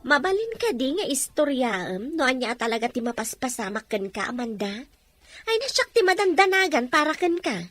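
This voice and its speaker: An actress playing a caring young woman in a radio drama, expressive and coaxing toward her best friend. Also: high-pitched, normal speed, faint music behind, full character voice. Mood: worried.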